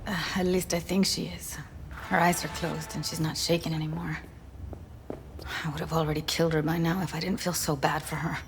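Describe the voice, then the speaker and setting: A woman's voice: low voice, level tone, fairly quick. An actress playing a guarded, blunt young woman who is a tech expert in a video game. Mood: weary, bitter. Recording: music behind the voice.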